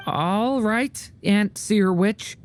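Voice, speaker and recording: A woman's voice: medium pitch, extremely slow. A woman playing a polite, innocent young boy in a video game, speaking in an earnest, hesitant, well-mannered way. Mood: shy, earnest.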